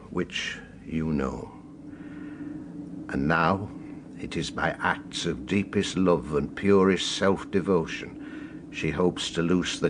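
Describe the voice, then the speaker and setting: A compassionate older male confessor in a radio drama. His low voice is measured and solemn, with formal phrasing. Faint ambience sits behind him. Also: background noise. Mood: grave, compassionate.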